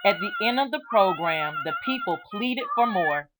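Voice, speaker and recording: A woman reads a children's storybook aloud as narrator, with measured, expressive storytelling and deliberate phrasing. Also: mid-range voice, background noise. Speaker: reader of a children's book aloud.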